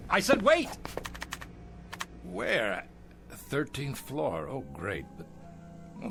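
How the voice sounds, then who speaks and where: An actor playing a ruthless, boastful older tycoon in an audio drama, with a theatrical, sardonic manner. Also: male voice, a little high, exaggerated voice. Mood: alarmed. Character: ruthless aging male tycoon, boastful, hungry, nostalgic for hometown.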